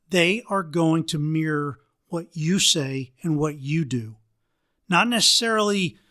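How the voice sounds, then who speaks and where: A middle-aged man from the United States hosting a solo leadership podcast, a bit hoarse from allergies, talking earnestly and often restarting phrases. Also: fairly high pitch, a bit bright. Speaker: podcast host.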